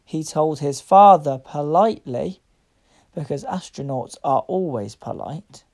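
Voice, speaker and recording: Woman, children's story reader, unhurried pace, slightly low pitch, soft-edged mellow tone, expressive phrasing. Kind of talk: storybook read-aloud for young children.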